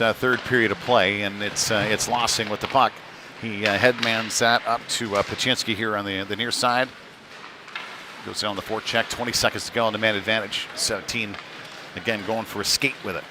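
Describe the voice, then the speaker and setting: Man calling hockey, noisy rink behind. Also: on the low side. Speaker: play-by-play commentator. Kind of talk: live youth hockey commentary. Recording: noisy live rink stream.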